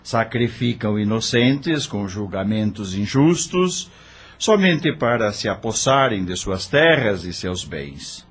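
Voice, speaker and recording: Tender middle-aged doctor father, low husky voice, slow and very expressive. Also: exaggerated voice. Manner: soft-spoken, tender, earnest. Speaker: father in radio drama. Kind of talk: audio drama acting.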